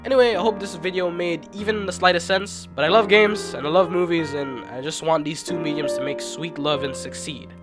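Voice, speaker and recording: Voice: mid-range voice, a little fast, few pauses. Casual video essayist over background music. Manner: informal, self-deprecating. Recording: music playing behind the voice.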